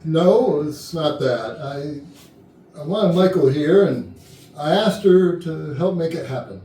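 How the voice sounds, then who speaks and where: A man's voice: fairly high pitch, lively intonation, warm tone. A man playing a guarded, hesitant boss with a subdued delivery in a staged murder mystery comedy. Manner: halting, subdued. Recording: echoey room, distant mic, background noise.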